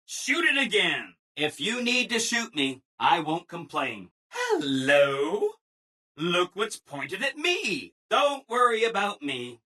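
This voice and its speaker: Game robot assistant: bright, very animated, ingratiating, relentlessly upbeat people-pleaser. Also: male voice, sits high, takes it slowly, heavily acted. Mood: gleefully self-punishing. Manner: chipper, ingratiating, emphatic, apologetic people-pleaser. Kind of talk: scripted video game dialogue.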